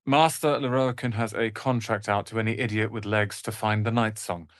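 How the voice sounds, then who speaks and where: A man's voice: fairly low pitch, steady tone, normal speed, no echo, studio quality, lightly acted. A man playing a proud young wizard's apprentice in a fantasy video game, speaking in a pompous, articulate, theatrical manner.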